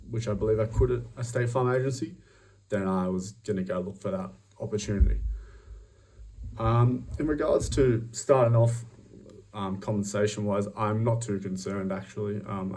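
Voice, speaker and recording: A young man with an Australian accent giving a self-recorded job application pitch, upbeat and self-promoting, with many ums and restarts. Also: a little mellow, distant mic, low-quality recording.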